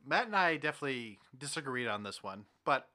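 A man hosting a podcast in casual chat, with an animated, jokey manner. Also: medium pitch, fairly quick. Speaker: podcast host.

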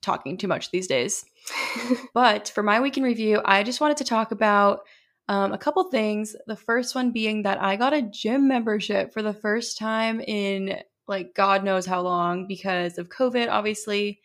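Chatty young woman, slightly hoarse. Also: medium pitch, unhurried, fairly bright tone. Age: young adult. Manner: chatty, lots of fillers. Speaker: podcast co-host. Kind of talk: casual chat.

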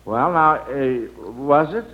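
A man's voice: medium pitch, takes it slowly. A man hosting an old-time radio quiz for children, chuckling and patiently prompting the contestants. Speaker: quiz show host. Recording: dull, noisy old radio recording.

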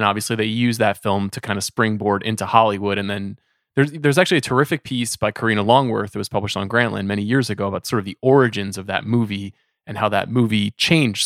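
A man's voice: lowish voice, fairly bright tone, dry recording, clean studio sound. A man hosting a conversational film discussion, speaking in an articulate, discursive way as he frames questions. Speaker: podcast host.

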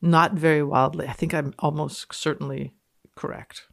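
A woman's voice: low voice, fairly even intonation, moderate pace, creaky. A woman with a Canadian accent, reading texts aloud dramatically and commenting casually in a playful, mock-authoritative way. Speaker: guest reader and commentator on a podcast.

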